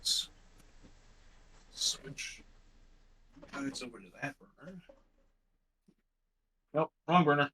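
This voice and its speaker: Very bright radio guy rambling while cooking. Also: a little high, slow, pauses often, a little hiss or hum, rough recording. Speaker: guest cooking along, radio presenter. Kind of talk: casual chat while cooking. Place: home kitchen with gas stove.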